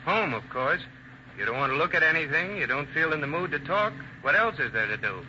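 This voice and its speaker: A man's voice: on the high side, big pitch swings, brisk, sharp tone, exaggerated voice. An actor playing a tired, grumbling American working man, blunt and plain-spoken, in an old-time radio drama. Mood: irritated. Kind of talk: 1940s radio drama acting. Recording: dull, noisy vintage radio recording.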